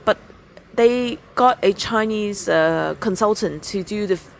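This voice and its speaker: Woman, winery owner, frank and halting, medium pitch, faint background noise. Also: creaky. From Hong Kong. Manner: frank, with frequent restarts and hesitations. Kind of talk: casual interview chat.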